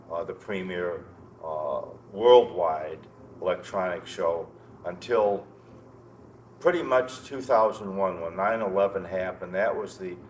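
An older American man in his sixties reminiscing conversationally as an interview guest, halting and often repeating words. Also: low-pitched. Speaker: interview guest, loudspeaker maker. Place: indoor show room, seated. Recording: noticeable background noise, room ambience.

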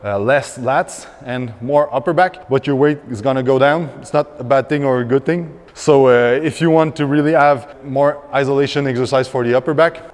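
A man's voice: medium pitch, moderate pace, few pauses. A man in his thirties, a fitness coach, explaining a workout step by step in a large indoor gym, with frequent fillers and restarts.

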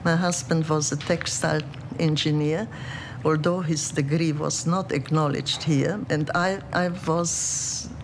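An older woman from Czechoslovakia recalling her past in a documentary interview, speaking in a measured, reflective way. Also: low-pitched, fairly even intonation, slight background noise. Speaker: interviewee, refugee. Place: dark studio set, indoors.

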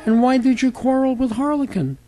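A man's voice: extremely high pitch, a little fast, mellow, soft background music, voice pushed up. A man voicing a stern, suspicious police chief in a storybook puppet tale, questioning in an official manner. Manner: interrogating, official. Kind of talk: storybook character dialogue.

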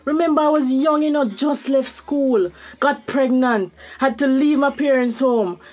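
A woman's voice: high voice, measured pace, darkish tone. A woman playing a regretful wife in a radio soap, pleading earnestly for forgiveness.

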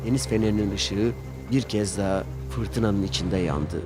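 A man's voice: lowish voice, normal speed. A man narrating a scripted children's story as its storyteller. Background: music under the voice.

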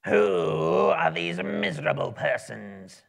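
A man voicing a huge, pompous, wrathful goblin king with a raspy, gravelly voice and a loud, accusing, drawn-out delivery. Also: fairly high pitch, very animated, pitched above the performer's own voice, heavily acted. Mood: suspicious, menacing.

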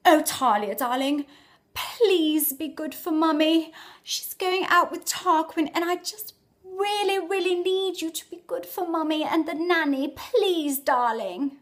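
A woman's voice: very high-pitched, pitched above the performer's own voice. A woman putting on a posh RP English accent as a mother pleading with her young child. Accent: RP English (put on). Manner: plummy, drawn-out pleading.

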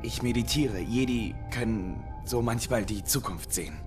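An actor playing an intense young apprentice warrior in a game dub, moving between hushed brooding and forceful outbursts. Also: male voice, medium pitch, normal speed, over music, lightly acted. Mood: quiet, solemn. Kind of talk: dubbed video game cinematic acting. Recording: loud film score underneath.

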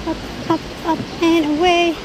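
Woman, high voice, slow unhurried talk, excited exclamations, noisy outdoor recording. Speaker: travel vlogger. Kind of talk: walking tour vlog. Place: outdoors and in a sports complex, rain and wind. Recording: noisy outdoor phone video.

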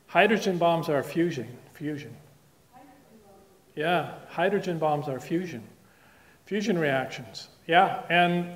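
A man from the Netherlands giving a chatty, joking public science talk in a lecture room, with restarts and asides. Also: a little high. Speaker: guest lecturer. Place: lecture room with projected slides.

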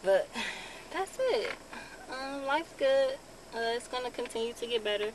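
A young woman vlogging from a parked car in a relaxed, casual way, with lots of ums. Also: on the high side, unhurried. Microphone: phone camera on dashboard. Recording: car with clear background noise. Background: vehicle ambience.

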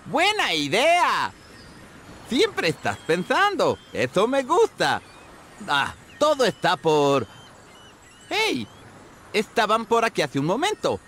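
A muddled, friendly seagull in a video game. His voice is bright and swoops wildly as he chatters exuberantly, with faint noise behind. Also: high voice, huge pitch swings, takes it slowly, long pauses, full character voice. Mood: eager. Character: scatterbrained, friendly male seagull treasure hoarder. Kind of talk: animated game character acting.

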